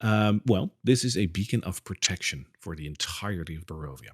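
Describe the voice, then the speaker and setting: A man narrating a tabletop game as game master, with descriptive narration and quick asides. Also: deep voice, lively intonation, breathy edge.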